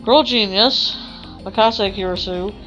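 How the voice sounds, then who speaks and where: A woman reading a visual novel aloud as a let's play, with a halting delivery that corrects itself. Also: medium pitch, fairly bright tone. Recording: dull lo-fi mic with music behind. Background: game music.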